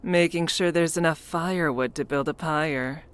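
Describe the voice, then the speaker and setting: An actor playing a cold, mocking town official who hunts a werewolf in a dramatic visual novel, sardonic and taunting, over music. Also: male voice, fairly high pitch, moderate pace, fairly bright tone, clean tone, close to an everyday voice. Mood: mocking, menacing. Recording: music under the voice.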